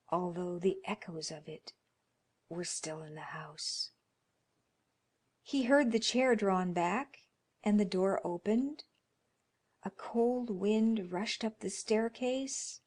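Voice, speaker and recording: A woman reading a classic horror story as narrator, dramatic and expressive, at times dropping into hushed tones. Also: mid-range voice.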